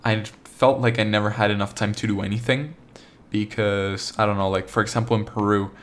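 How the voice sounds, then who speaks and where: A man's voice: lowish voice, fairly even intonation, a little fast. A young man from Argentina hosting a solo podcast, talking in a loose, rambling way full of restarts and filler words. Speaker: podcast host. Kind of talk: casual solo podcast monologue.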